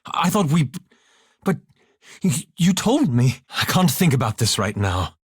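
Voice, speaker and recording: A man's voice: fairly high pitch, big pitch swings, unhurried, slightly rough, dry recording, heavily acted. An actor playing a scholarly, easily exasperated male elf wizard in a video game, with formal, dry, sardonic diction. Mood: upset. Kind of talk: video game character dialogue.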